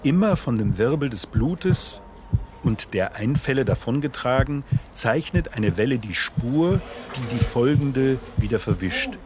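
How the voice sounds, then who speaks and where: A man reading scripted literary texts as a radio drama narrator, in a measured, solemn way. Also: mid-range voice, sing-song, noisy background.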